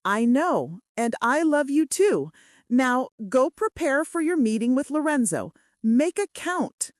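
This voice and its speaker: A woman's voice: sits high, very animated, slow pace, no room sound, voice pushed up, heavily acted. A woman voicing a practical, affectionate female assistant with dry wit in a romance audiobook. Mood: affectionate, brisk. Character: practical, wry, loving female assistant to mafia boss.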